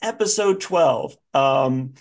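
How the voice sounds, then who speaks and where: A man's voice: mid-range voice, animated, slow pace. A man hosting a podcast in casual, informal chat, dramatizing his reactions as he goes. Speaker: podcast host.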